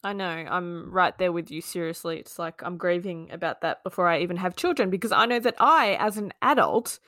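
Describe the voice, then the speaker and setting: Lively woman, dry sound. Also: fairly low pitch, a little fast, no echo. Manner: animated, swears casually, laughs often. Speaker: podcast co-host. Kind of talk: casual chat.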